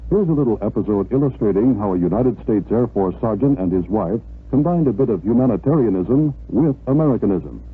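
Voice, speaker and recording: Male radio announcer, very rough husky tone, animated declamatory delivery, mid-century scripted narration on a tinny broadcast. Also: fairly low pitch, fairly quick, hardly any pauses. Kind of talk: 1950s radio public-service narration, scripted. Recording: tinny narrow-band old radio recording.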